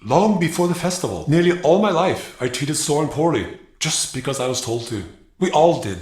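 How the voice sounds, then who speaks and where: A man playing an earnest, remorseful captive in a video game, speaking with a halting, dramatic manner. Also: fairly high pitch, animated, darkish tone, close to an everyday voice. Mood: regretful. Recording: roomy, echoing space.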